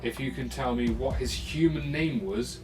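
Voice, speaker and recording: British male co-host, medium pitch, casual trivia banter, playful, over noticeable background music. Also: moderate pace, a little mellow. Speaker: podcast co-host and quizmaster.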